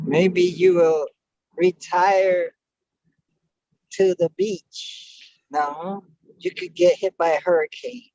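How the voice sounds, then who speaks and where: A man in his sixties talks with unhurried, drawn-out pacing and a teasing, wry humor. The sound is dry and slightly muffled, with dull highs. His pitch is ordinary. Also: animated, warm tone, no echo. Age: 60s. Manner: slow, playful, wry. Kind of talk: casual group chat.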